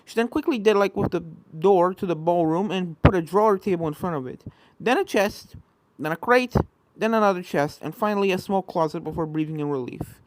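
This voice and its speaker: A woman's voice: lowish voice, a little fast, no room sound, poor audio. A woman reading a scripted fan fiction story as narrator, with spooky video game music behind her.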